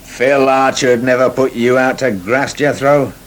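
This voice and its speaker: An actor playing a jovial, chatty English village publican in a radio soap. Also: male voice, medium pitch, measured pace, a little hiss or hum, lightly acted. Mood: reassuring. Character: jovial, matey village pub landlord. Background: music.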